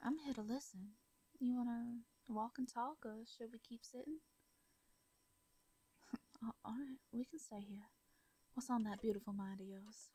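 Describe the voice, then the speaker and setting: A woman voicing a gentle Southern farmer woman. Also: a little high, measured pace, pauses often, a little hiss or hum. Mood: warm. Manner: soft, stammering, frequent light laughs. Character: gentle hermit farmer woman, caring and shy. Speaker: voice actor in audio roleplay. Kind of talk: soft comforting audio roleplay.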